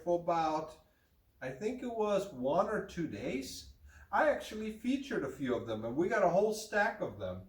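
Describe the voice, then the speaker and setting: A middle-aged man with a slight German accent talks plainly and frankly, pitched a little high for a man. He is heard from a distance across a roomy, echoey indoor space. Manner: frank, plain-spoken, with fillers. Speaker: rug dealer. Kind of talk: casual talk to camera. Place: room with hanging curtain, indoors. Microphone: far camera. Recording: roomy, distant camera sound.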